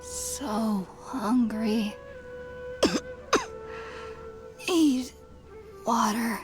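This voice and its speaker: Exhausted hermit, extremely slow, faint. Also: female voice, fairly high pitch, very animated, exaggerated voice. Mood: faint, suffering. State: weak, coughing, exhausted. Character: starving, parched woman hermit, faint and exhausted. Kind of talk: scripted game acting. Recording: game music behind the voice.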